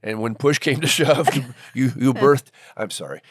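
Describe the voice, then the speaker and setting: Man, podcast co-host, playful banter, dry close recording, average pitch. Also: measured pace, husky, dry recording. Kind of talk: scripted introduction and casual joking chat.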